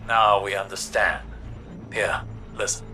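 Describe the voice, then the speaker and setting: Solemn, bright-voiced sage. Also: male voice, fairly low pitch, steady tone, soft background music. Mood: grave. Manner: grave, deliberate, poetic. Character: solemn, eloquent male sage and ally. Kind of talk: dramatic video game dialogue.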